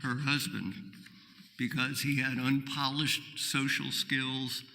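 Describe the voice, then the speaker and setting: Older man from New York, congregant reflection, slow and halting, bright voice, long sanctuary reverb. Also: medium pitch, level tone, creaky, slight background noise. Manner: halting, measured, with many "um"s. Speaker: congregant giving a reflection at worship. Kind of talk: personal reflection read at a service. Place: synagogue sanctuary.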